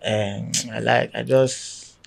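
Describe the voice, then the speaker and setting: Chatty Nigerian man, mid-pitched voice. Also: slow, gravelly. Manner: chatty, relaxed storyteller. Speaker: guest content creator. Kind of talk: casual conversational interview. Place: podcast studio.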